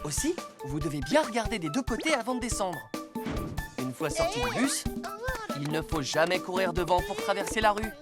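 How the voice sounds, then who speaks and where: A woman's voice: mid-range voice, sing-song, moderate pace, close to an everyday voice. A woman voicing a responsible, caring police patrol car in a French-dubbed children's cartoon, firmly and kindly teaching safety rules. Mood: instructive. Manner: instructive, firm yet kindly. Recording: loud music behind the voice.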